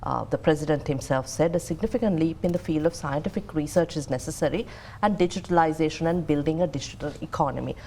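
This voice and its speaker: A woman hosting a talk show, asking measured questions with many fillers. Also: low-pitched, mellow, a little hiss or hum. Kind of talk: interviewing.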